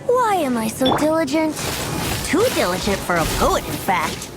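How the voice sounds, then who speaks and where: A woman's voice: high-pitched, huge pitch swings. A woman voicing a carefree, teasing young male bard in a game cutscene, speaking lightly and playfully. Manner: teasing, lighthearted, slow and lilting. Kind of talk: video game cutscene voice acting. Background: game music.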